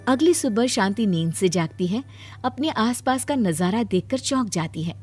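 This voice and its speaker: A woman's voice: on the high side, lively intonation, normal speed, a little mellow, faint music behind. A woman narrator reading a scripted children's cartoon story.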